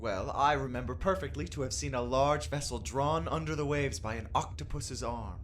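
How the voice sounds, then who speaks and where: A man's voice: mid-range voice, normal speed, a little hiss or hum, natural voice. Guy acting as a polite teasing sidekick, radio play, mid voice, totally matter of fact. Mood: earnest teasing.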